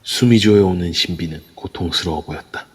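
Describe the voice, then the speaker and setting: A man's voice: low-pitched, brisk, slightly rough. A man reading scripted narration for an audio drama. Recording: long reverb throughout.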